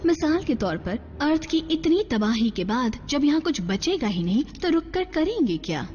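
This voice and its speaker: High-voiced scheming robot commander. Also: female voice, big pitch swings, moderate pace, darkish tone, a little hiss or hum. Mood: calculating, persuasive. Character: scheming female robot commander, smooth and persuasive. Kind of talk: Hindi-dubbed action cartoon acting, speechmaking. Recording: dull sound with music behind.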